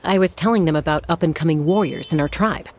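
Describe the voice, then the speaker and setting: A woman voicing a calm young warrior in a game, steady and reassuring. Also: sits low, fast talker. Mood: encouraging. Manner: reassuring, easygoing.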